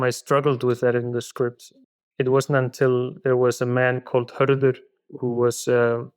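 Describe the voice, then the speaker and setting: Smooth-voiced man with an Icelandic first language. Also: mid-range voice, dry recording. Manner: thoughtful, halting, many restarts. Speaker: film director guest. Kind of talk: reflective interview answers.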